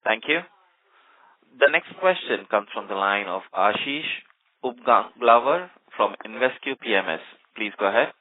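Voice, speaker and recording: Low-voiced male operator, formal scripted cues, dry phone-line sound. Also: animated, measured pace, no room sound. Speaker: conference call operator. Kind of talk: formal call moderation.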